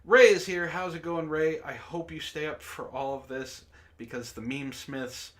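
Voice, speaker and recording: A man's voice: on the high side, steady tone, normal speed, fairly bright tone. A man hosting a casual group livestream with informal, sweary banter. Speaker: host.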